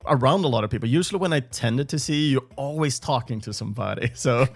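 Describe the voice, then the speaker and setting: A man's voice: medium pitch, quick pace. A man hosting a video game music podcast over a remote call, chatting casually, laughing often and restarting his sentences. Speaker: podcast host. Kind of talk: casual interview chat.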